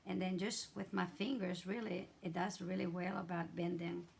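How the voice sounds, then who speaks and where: A hoarse middle-aged woman, chatting her way through a craft tutorial with many fillers and restarts. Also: lowish voice, fairly even intonation, moderate pace, a little mellow. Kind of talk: casual step-by-step craft demonstration.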